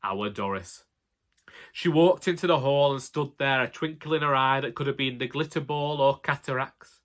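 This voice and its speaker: A man's voice: mid-range voice, moderate pace, a bit bright. A man with a Northern English accent narrating a comic first-person story in a wry, colloquial way. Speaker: audiobook narrator.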